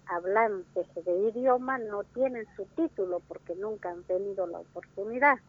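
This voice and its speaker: A woman whose first language is Wichí, from northern Argentina, answering interview questions slowly, with many restarts and fillers. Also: mid-range voice. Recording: tinny phone line.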